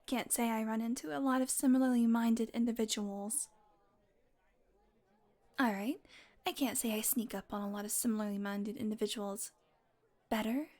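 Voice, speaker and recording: A woman's voice: on the high side, steady tone, moderate pace, long pauses, darkish tone, close to an everyday voice. A woman playing a sardonic, flirtatious, possessive female vampire in an intimate audio roleplay, talking teasingly to the listener and sometimes dropping into a murmur. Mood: flirty, playful. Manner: sardonic, teasing, casually swearing, slipping into breathy murmurs.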